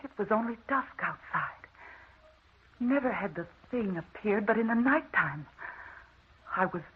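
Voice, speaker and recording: An actress playing an anxious, haunted wife in a melodramatic old-time radio drama. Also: female voice, mid-range voice, animated, a little slow, husky. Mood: haunted, brooding. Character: anxious, haunted wife recounting supernatural terrors. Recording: muffled, noisy old radio recording.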